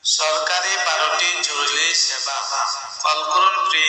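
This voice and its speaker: A man's voice: on the high side, steady tone, a little slow, bright, forceful tone. A man in a played-back recorded sample, his voice run through a voice-changer effect. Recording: roomy echoing playback, faint music.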